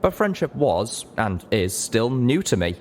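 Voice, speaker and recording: A man narrating a scripted story as a dramatic reader, with deliberate, measured phrasing. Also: medium pitch, big pitch swings, a little mellow, slight background noise. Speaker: narrator, reader of a fan fiction audiobook.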